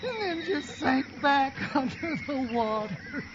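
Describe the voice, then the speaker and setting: An actor playing a hapless, lovesick, henpecked rural grocer with a Southern US accent in a campy radio parody. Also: male voice, very high-pitched, expressive, takes it slowly, a bit bright, a little hiss or hum, heavily acted. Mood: mournful. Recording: dull lo-fi, music underneath.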